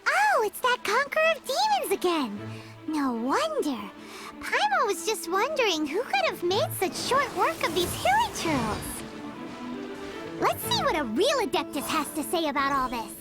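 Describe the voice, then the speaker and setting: A woman voicing a tiny, excitable childlike fairy companion in a video game, squeaky and exclamatory. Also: normal speed, heavily acted. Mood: curious. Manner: speaks of self in third person, exclamatory. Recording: game music behind the voice.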